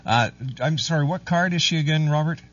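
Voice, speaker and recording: Husky male call-in host, brisk, slightly distant dull audio. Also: a little high, fairly bright tone. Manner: polite, brisk, calls people dear. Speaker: radio host. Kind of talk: call-in radio hosting.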